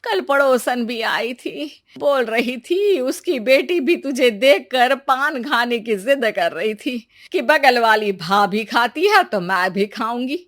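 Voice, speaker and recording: A woman voicing a nagging, sly older mother-in-law in an animated family comedy, with drawn-out, reproachful phrasing. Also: fairly high pitch. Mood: exasperated.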